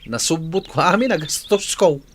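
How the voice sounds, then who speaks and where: An actor with an Ilocano accent playing an easygoing young son who drinks and shrugs off worries, in a radio drama. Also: male voice, a little high, animated, normal speed.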